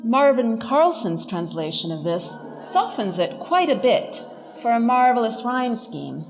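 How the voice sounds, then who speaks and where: Animated, high-voiced woman lecturer, wry. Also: measured pace. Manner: wry, articulate, emphatic. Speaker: professor, lecturer. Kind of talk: scripted lecture with dry humour. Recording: long hall reverb, faint music behind.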